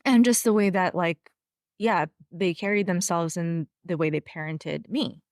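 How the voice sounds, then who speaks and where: Low-pitched woman, thoughtful host. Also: a little slow, no room sound, studio quality. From of Taiwanese family background. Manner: thoughtful, conversational, uses many fillers. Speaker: podcast host. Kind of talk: casual interview.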